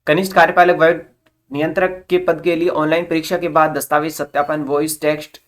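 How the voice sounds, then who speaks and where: Mid-pitched presenter explaining a job notice. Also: flat delivery, brisk. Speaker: presenter of job recruitment updates. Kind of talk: informational explainer reading out a notice with plain explanations.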